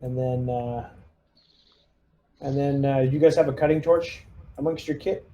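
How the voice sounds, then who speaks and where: Very dark-voiced man directing a game squad. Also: mid-range voice, steady tone, measured pace, dull audio. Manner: gives step-by-step orders. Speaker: player acting as squad leader. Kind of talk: casual tabletop game play.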